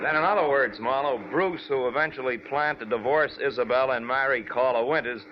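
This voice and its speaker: A man's voice: medium pitch, a little fast, lightly acted. Man playing a curt 1940s radio police lieutenant, lo-fi. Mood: sharp. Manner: curt, sarcastic, scolding. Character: gruff, exasperated male police lieutenant. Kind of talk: 1940s radio drama acting. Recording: dull, faintly noisy old radio recording.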